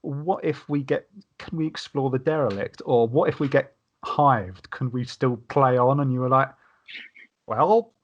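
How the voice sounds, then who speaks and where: A man's voice: mid-range voice, unhurried, highs cut off. A man in casual panel discussion, talking wordily and often restarting his sentences. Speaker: podcast panelist.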